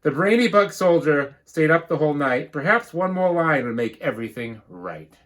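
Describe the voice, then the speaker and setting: Male storybook reader, teacher-like, rhythmic, highly animated intonation. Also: moderate pace. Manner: rhythmic rhyme reading, dropping into quiet explanatory asides. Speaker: teacher reading a picture book aloud. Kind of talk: animated read-aloud storytelling with asides.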